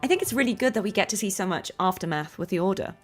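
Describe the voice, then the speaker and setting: A woman's voice: medium pitch, lively intonation, very fast, faint music behind. A woman streamer chatting casually over gameplay, with informal, playful asides. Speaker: streamer and voice actress. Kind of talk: casual livestream chat with gameplay commentary.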